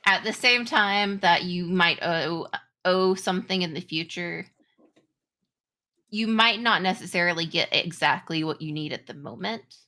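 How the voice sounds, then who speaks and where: A woman improvising narration as game master of a tabletop game, halting as she searches for words. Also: on the low side, bright, forceful tone.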